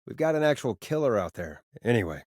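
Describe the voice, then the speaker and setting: A man's voice: medium pitch, very animated, fairly quick, a little mellow, husky, no echo. A male late-night radio DJ in a small town, heard close and dry with no room around him. He talks in a conversational, slightly hesitant way, wry and exasperated, with sarcasm under the on-air patter of a video game character. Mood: steady warning. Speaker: radio host. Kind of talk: scripted game voice acting, radio host patter.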